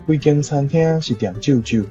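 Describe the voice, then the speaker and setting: A man's voice: on the high side, moderate pace, dark tone, faint music behind. A man with a Taiwanese accent reading model translations as a language teacher, on a slightly lo-fi recording. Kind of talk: model translation read aloud. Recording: slightly dull, lo-fi sound.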